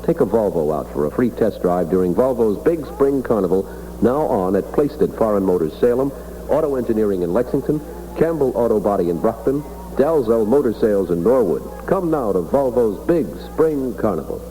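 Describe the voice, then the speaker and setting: A man's voice: lowish voice, lively intonation, normal speed, mellow, soft background music, poor audio. A man hosting a morning radio show in 1960s announcer style, reading time checks and ads. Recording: vintage 1960s radio broadcast.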